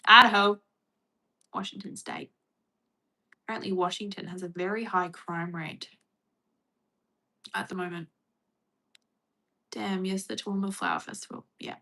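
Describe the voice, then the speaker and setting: Australian woman, casual streamer, bright forward voice, chatty with viewers. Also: medium pitch, steady tone, unhurried, pauses often, rough recording. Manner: chatty, reads and answers viewer comments. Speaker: livestream host. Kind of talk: casual livestream chat.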